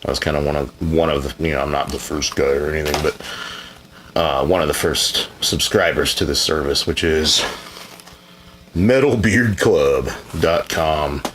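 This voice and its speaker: A man's voice: very deep voice, normal speed, a bit bright, slight background noise. A man answering viewer questions in a casual, rambling chat, laid-back and frequently hedging, now and then blunt and profane.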